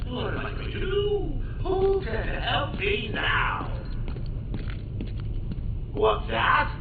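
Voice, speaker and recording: An actor playing a manic, gleeful and cruel male criminal clown in a video game, cackling and mocking in a theatrical sing-song way, heard as a loudspeaker broadcast. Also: very high voice, distant mic, noisy background, exaggerated voice. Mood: taunting. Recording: tinny echoey audio over loud music.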